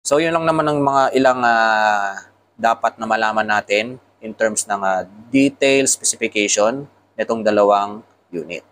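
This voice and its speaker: A man's voice: on the low side, normal speed, a bit bright, a little hiss or hum. A man with a Filipino accent giving a casual product tutorial, explaining things step by step as a motovlogger. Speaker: motovlogger reviewing motorcycle intercoms.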